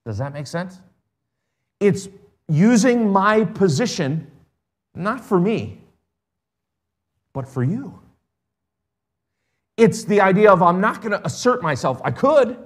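Earnest male pastor preaching slowly. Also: high-pitched, big pitch swings. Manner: earnest, emphatic preaching with slow stressed phrases. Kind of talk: sermon. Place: church.

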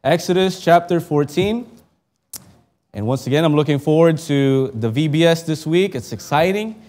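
A young man from the Philippines preaching with earnest conviction, his voice sitting a touch higher than most men's. He reads scripture aloud and prays, lifting his voice for emphasis and dropping it low and soft when he prays. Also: normal speed. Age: young adult. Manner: earnest, heartfelt delivery that rises for emphasis and drops soft in prayer. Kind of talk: sermon with scripture reading and prayer.

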